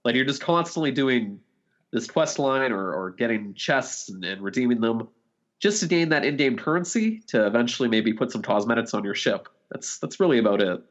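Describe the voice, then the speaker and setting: A man's voice: medium pitch, lively intonation, moderate pace. A man co-hosting a podcast, chatting casually about video games and peppering his sentences with "like". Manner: frequent "like" as a filler. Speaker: podcast co-host. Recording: slightly dull, lo-fi voice recording.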